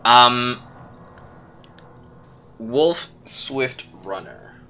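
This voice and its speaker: A man's voice: mid-range voice, animated, very slow, sharp tone. A man acting as game master, chatting casually and answering players in a laid-back, rambling way. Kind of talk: casual chat running a tabletop game.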